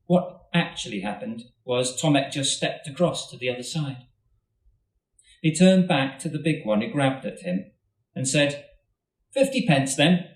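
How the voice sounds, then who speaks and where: Brief: male author reading a story aloud to a live audience, measured and steady, mid pitch, in a roomy hall with the mic at a distance. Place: literature festival event hall.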